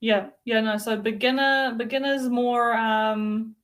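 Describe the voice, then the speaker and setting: A woman, teaching English in a relaxed, friendly chat over a video call, with frequent ums. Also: fairly high pitch, unhurried. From New Zealand. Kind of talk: casual lesson conversation.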